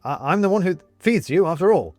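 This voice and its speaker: A man's voice: high-pitched, big pitch swings, brisk, darkish tone, no echo, pitched above the performer's own voice. A man voicing a tiny shrunken man who stammers and pleads anxiously. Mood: pleading.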